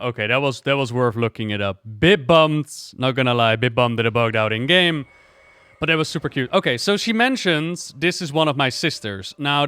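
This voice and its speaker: A man giving casual, excited reaction commentary on a video game, exclaiming and thinking out loud. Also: mid-range voice, clear, resonant tone, no room sound. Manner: expressive, exclamatory, thinking aloud.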